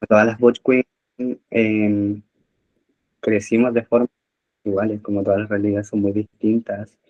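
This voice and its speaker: A man speaking slowly and haltingly on a discussion panel. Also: lowish voice, little pitch movement, dull audio.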